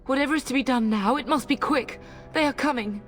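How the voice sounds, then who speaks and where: A woman's voice: high-pitched, fairly quick, soft background music, natural voice. An actress playing a middle-aged noble mother in a video game, fierce, devoted and urgent, with emotional delivery. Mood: anxious. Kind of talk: dramatic video game acting.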